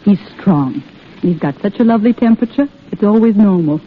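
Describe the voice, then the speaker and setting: An actress playing a devoted young nurse in love, tender and playful, in an old radio drama. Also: female voice, medium pitch, lively intonation, a little fast, lightly acted. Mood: cheerful, playful. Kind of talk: 1930s-40s radio drama acting. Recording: thin, phone-like old radio broadcast.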